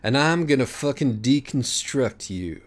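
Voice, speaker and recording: A man's voice: mid-range voice, lively intonation, a little slow, voice pushed up, natural voice. A man voicing a smug, flippant cool dude who loves wordplay, in an audiobook story. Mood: cocky. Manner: flippant, cocksure. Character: smug, cocky, wordplay-loving rad young dude.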